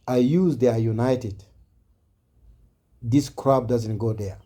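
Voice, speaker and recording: Mellow African man making an earnest appeal. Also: medium pitch, slow pace. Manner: earnest, exhorting, repetitive. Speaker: speaker addressing online viewers. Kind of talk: impassioned informal speech to camera.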